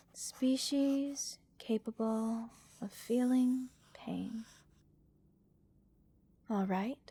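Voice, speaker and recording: A woman playing a gentle, curious alien researcher in a soft-spoken ASMR roleplay, measured and reassuring, with clinical interest. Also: fairly high pitch. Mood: attentive, clinical. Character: gentle, curious female alien researcher examining a captured human. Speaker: voice actor in audio roleplay.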